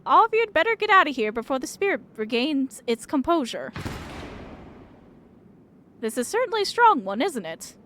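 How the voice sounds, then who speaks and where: A high-pitched, composed mother from a ghost-story game, acted by a woman reader. The delivery is measured and authoritative, over some background noise. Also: sing-song, pushed and bright, heavily acted. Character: composed, brisk mother confronting a haunting.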